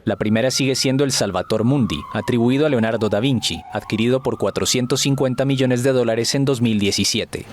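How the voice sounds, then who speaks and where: A man reading a news segment as a voice-over in a steady, factual way. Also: mid-range voice, brisk, few pauses, a little hiss or hum. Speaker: news narrator.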